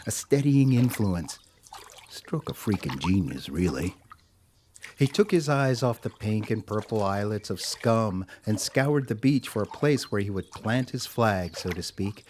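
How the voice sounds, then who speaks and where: A man narrating a scripted audio drama as a storyteller, with a measured, wry, theatrical delivery. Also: lowish voice, animated, a little mellow, slight background noise.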